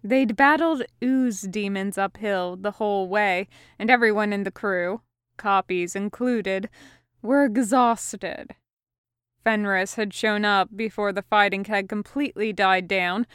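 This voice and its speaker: A woman's voice: mid-range voice, measured pace, a bit bright, smooth, dry recording, studio quality. A woman reading a scripted story as an audiobook narrator. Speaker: fan fiction audiobook reader.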